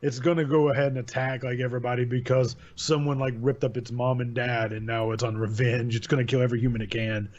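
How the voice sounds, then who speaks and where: A man's voice: mid-range voice, fairly even intonation, fast talker, hardly any pauses, darkish tone. A young man hosting a casual chat about horror stories, rambling and laid-back, correcting himself as he goes, on a desk microphone. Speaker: channel host reviewing horror stories. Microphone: desk mic with pop filter. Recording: dull lo-fi home recording.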